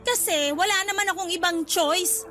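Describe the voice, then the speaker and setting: A woman's voice: very high-pitched, moderate pace, pushed and bright. A woman acting a hurt, defiant young woman still in love, confrontational and emotional, in a radio romance drama. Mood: guarded, bitter. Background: background music.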